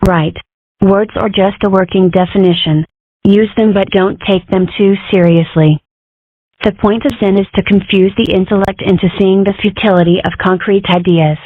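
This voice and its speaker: A woman's voice: medium pitch, steady tone, moderate pace, dry recording, close to an everyday voice. A woman voicing young, matter-of-fact female animal characters in a comic strip dub. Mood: calm. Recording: muffled phone-like band.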